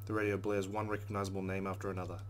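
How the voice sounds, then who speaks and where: A man narrating a visual novel aloud in a dramatic, expressive read-aloud style, with muttered asides. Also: low voice, very fast, slight background noise. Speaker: let's play narrator.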